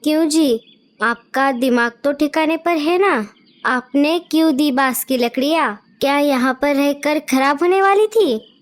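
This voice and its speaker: A woman voicing a sharp-tongued female bird who acts as the sister-in-law in a children's cartoon, tart and scolding. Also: high voice, measured pace.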